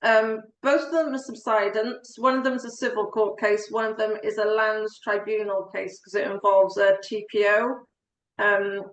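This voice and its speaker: A woman's voice: medium pitch, little pitch movement, moderate pace, a little hiss or hum. Welsh-accented woman solicitor, echoey room. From South Wales. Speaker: solicitor giving a presentation. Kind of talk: informal presentation on an online call.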